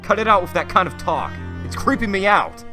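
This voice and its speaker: An irritable, combative former cop in an audio drama, played by a man, bluntly exasperated and confused. The pitch swings widely, and music plays loudly behind the voice. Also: big pitch swings, fairly quick. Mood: angry, threatening. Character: irritable, confused murder defendant, a gruff male former cop. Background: loud background music.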